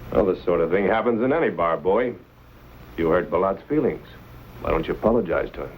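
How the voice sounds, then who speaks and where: Swooping, deep, menacing Western town boss. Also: male voice, animated, brisk. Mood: mocking. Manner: condescending, bullying. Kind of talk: Western drama acting. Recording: very noisy, muffled old soundtrack.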